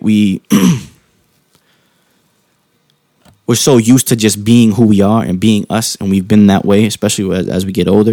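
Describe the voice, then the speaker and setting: A man with a low, mellow, soft-spoken voice talks casually and calmly, thinking aloud, rephrasing as he goes and checking in with the listener in informal slang. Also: vocal fry, no echo, clean studio sound. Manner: calm, informal slang, halting rephrasing with frequent tag questions. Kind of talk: casual reflective monologue.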